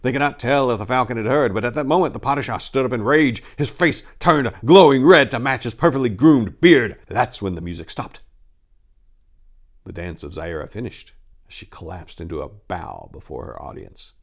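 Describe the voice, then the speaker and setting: A man's voice: mid-range voice, normal speed. A man narrating a story as host, in a dramatic, theatrical and emphatic storytelling style.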